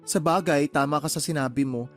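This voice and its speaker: An actress playing a bubbly, flamboyant best friend, sassy and gushing, in comic radio drama acting. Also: female voice, deep voice, fairly quick, faint music behind, natural voice. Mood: playful, gushing. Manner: sassy, gushing, mixes in English slang.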